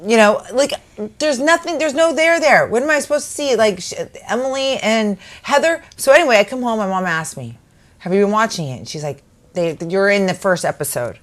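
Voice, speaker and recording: A woman's voice: on the high side, animated, fairly quick. A woman co-hosting a casual chat, telling stories animatedly with lots of restarts. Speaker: co-host.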